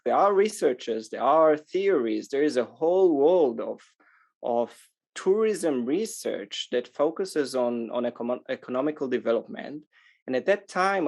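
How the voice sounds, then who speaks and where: A man from Israel speaking as a podcast interview guest, conversational, often pausing mid-phrase as he explains. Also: medium pitch. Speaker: interview guest, sustainable tourism organization manager.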